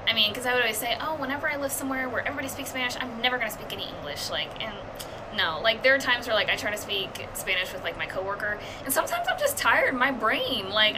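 A woman's voice: mid-range voice, noisy background. A young woman in her twenties, a TV news reporter, chatting upbeat and casually in an interview with many fillers.

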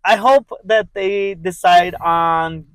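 A man co-hosting a casual sports podcast, speaking haltingly with fillers and restarts and laughing along with his partner. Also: sits high, fairly even intonation, dry recording, rough recording. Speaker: podcast co-host.

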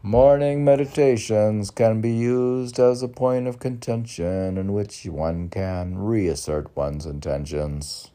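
An older man reading his own poetry aloud in a halting manner, mixing recitation with casual asides. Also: fairly low pitch, warm tone. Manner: halting, spells out and repeats words. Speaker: poet reading own work.

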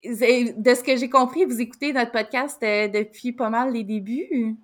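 A woman's voice: on the high side, fairly even intonation, moderate pace. A woman with a Québécois accent co-hosting a podcast, chatty and loose, full of fillers and restarts. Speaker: podcast co-host. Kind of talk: casual interview chat.

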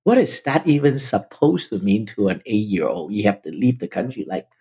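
Husky Chinese-native man telling his story. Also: on the low side, very animated, moderate pace, dark tone. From Vietnam. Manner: emphatic, addresses host directly. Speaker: podcast guest. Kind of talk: personal storytelling in conversation.

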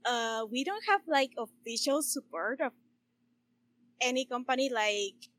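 High-pitched woman, unhurried. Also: fairly bright tone. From Chile. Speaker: podcast co-host. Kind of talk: casual chat.

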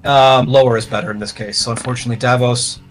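A man's voice: medium pitch, fairly even intonation, quick pace. A man narrating a tabletop game as game master, improvising in a matter-of-fact, explanatory way. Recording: music playing under the voice. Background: plucked guitar music.

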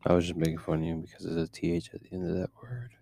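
A man's voice: low-pitched, racing, vocal fry, mellow, slight background noise. A man co-hosting a casual podcast, teasing his partner with dry jokes. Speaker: podcast co-host.

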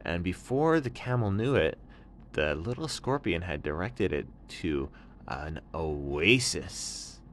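A man's voice: low voice, very animated, slow pace, a bit bright, a little hiss or hum. A man telling an improvised children's bedtime story, narrating in simple, explanatory phrases.

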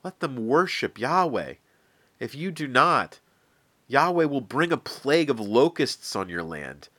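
A man's voice: mid-range voice, very animated, a little slow, bright, forceful tone, no room sound, voice pushed up, full character voice. A man voicing a stern, earnest prophet who pleads with emphasis. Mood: urgent.

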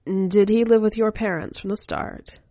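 A woman voicing a sly, coaxing female skeleton spirit in an audio story, in a hushed manner. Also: mid-range voice, steady tone, normal speed, no echo, voice pushed up, lightly acted. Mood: frank.

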